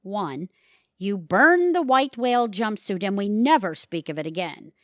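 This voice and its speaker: A woman playing a middle-aged mother and wife in an audio drama, earnest and wry, with deliberate, theatrical delivery. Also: mid-range voice, expressive, a bit bright, dry recording, clean studio sound.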